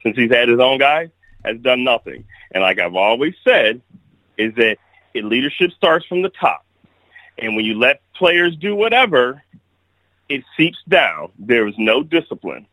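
A man's voice: medium pitch, big pitch swings, a little slow, bright, forceful tone, dry recording, rough recording. A man giving blunt, opinionated takes as the guest on a casual sports chat, often restarting his sentences. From Kalamazoo, Michigan.

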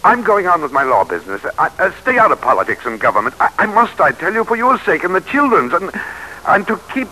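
A man's voice: high-pitched, big pitch swings, fast, sharp tone, heavily acted, low-quality recording. A man acting in an old radio drama as a proud, embittered 19th-century American statesman, theatrical and oratorical. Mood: agitated. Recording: vintage radio drama recording.

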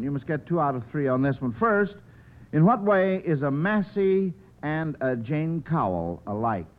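A man hosting a 1940s radio quiz show, who chuckles often and repeats his phrases. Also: on the high side. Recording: noisy, tinny old radio broadcast.

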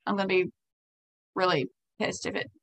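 A woman co-hosting a podcast in casual chat, blunt and joking with quick asides. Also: mid-range voice, fairly even intonation. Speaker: podcast co-host. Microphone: headphones and home mic.

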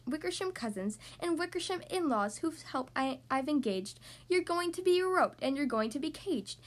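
A woman's voice: sits high, fairly bright tone. A woman voicing a big, haughty female kangaroo in a storybook, scolding and scornful.

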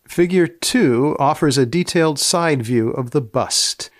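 A man hosting a podcast with scripted narration and short questions, speaking in a deadpan, deliberate, precise way. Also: on the high side, dry recording, studio quality. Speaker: podcast host and magazine editor.

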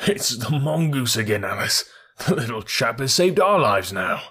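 A man voicing a big, hearty man of the house with a husky voice, speaking with relief. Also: mid-range voice, big pitch swings, normal speed, sharp tone, exaggerated voice. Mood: relieved. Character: big grateful Englishman, hearty.

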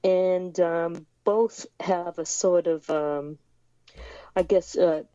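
A woman's voice: lowish voice, fairly even intonation, extremely slow, dark tone, clean tone, no echo, muffled recording. A woman raised in the United States, a musician guest in a remote interview, talking hesitantly with many fillers and restarts.

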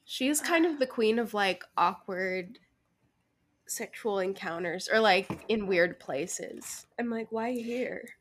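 A woman co-hosting a podcast in casual chat, playful and teasing and quick to laugh. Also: medium pitch, animated, fairly bright tone, a little hiss or hum. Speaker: book podcast co-host. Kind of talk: casual unscripted book chat.

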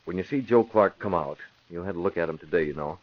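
A man's voice: sits low, fast talker, close to an everyday voice. An actor playing a determined young crusading man with a secret identity, giving decisive orders in 1940s radio drama style. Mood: grave, decisive. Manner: decisive, gives crisp orders. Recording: dull vintage radio recording.

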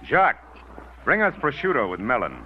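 A man's voice: medium pitch, very animated, close to an everyday voice. An actor playing a cocky, wisecracking male scandal columnist, in 1940s radio acting. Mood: smug. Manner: smug, wisecracking, fast-talking. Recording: dull old radio broadcast, faint hiss.

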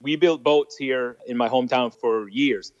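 A man's voice: medium pitch, normal speed, dry recording, dull audio. A man from Nova Scotia with an Acadian French accent, French his first language, chatting as an interview guest and laughing at his own jokes. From Nova Scotia, Canada. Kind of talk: casual storytelling interview.

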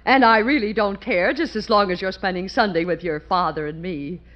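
A woman's voice: mid-range voice, moderate pace, lightly acted. An actress playing a stubborn, haughty middle-aged mother in a 1940s radio comedy. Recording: dull old radio recording.

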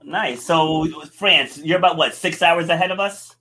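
A man's voice: on the high side, measured pace, bright, forceful tone. A man hosting a podcast interview, asking questions and dropping in short affirmations between them. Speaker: podcast host.

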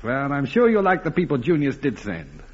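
Husky, teasing suave gentleman. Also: mid-range voice, huge pitch swings, moderate pace. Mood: breezy, teasing. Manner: wry, drawling, mocking. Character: suave, teasing, sardonic society ex-husband. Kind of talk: vintage radio play acting. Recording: muffled old radio recording.

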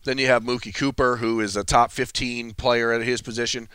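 A man talks through college football recruiting with quick, assured analysis and a dry wit. His voice is smooth and clear, recorded close and dry with no room sound. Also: mid-range voice, little pitch movement, sharp tone, no room sound. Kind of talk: casual sports talk.

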